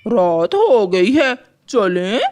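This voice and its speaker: A woman voicing a sly male crow in a cartoon, smooth-talking and coaxing with drawn-out words. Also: medium pitch, animated, darkish tone, pitched below the performer's own voice.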